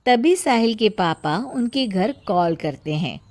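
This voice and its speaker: A woman narrates a cartoon story in a scripted storytelling style. She takes her time, with an unhurried, measured delivery. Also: mid-range voice, expressive, darkish tone.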